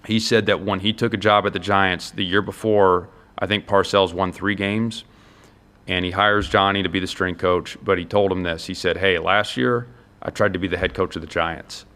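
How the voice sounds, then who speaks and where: A man's voice: low voice, fairly even intonation, normal speed, a bit bright. An American man, a college football head coach, speaking earnestly and emphatically in a conversational interview, laying out his points one by one.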